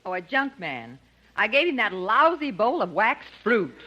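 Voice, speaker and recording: An actress playing a sweet-talking, apologetic housewife in a 1950s radio comedy. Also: female voice, mid-range voice, sing-song, a little slow. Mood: sweetly apologetic. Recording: dull, noisy old radio recording with clicks.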